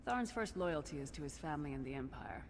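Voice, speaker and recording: An actor playing a blunt, wary male ally in a video game, speaking in a measured way. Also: on the high side, expressive, in a large hall, slight background noise. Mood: scornful. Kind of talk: video game character dialogue.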